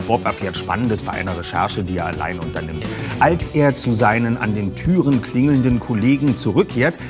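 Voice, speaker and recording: Man presenting over clear guitar music. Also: mid-range voice. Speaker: presenter of an audio drama preview. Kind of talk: informal scripted presentation.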